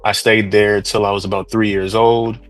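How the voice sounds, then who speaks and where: A young man from Trinidad, now a 3D artist, talking about his path in a relaxed, rambling interview manner. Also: lowish voice, normal speed, soft background music. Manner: laid-back, rambling, slangy. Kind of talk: casual interview chat.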